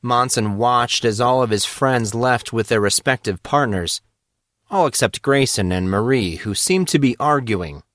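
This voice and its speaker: Man for scripted fiction narration, medium pitch, very little room sound. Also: expressive, measured pace, fairly bright tone, dry recording, clean studio sound. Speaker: audiobook narrator.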